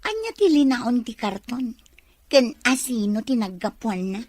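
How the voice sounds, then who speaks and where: A woman acts a mocking, curious village gossip with a high, very lively voice. Her delivery is inquisitive and catty, recorded dry for an audio drama. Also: a little slow, no room sound. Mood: nosy. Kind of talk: Ilocano audio drama acting.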